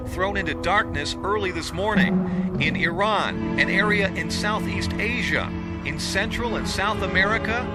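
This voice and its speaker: A news announcer, voiced by an actor, reads bulletins in a brisk, formal manner. His voice sits fairly high. Music plays clearly underneath. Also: lightly acted. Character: male radio news announcer. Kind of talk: broadcast news reading.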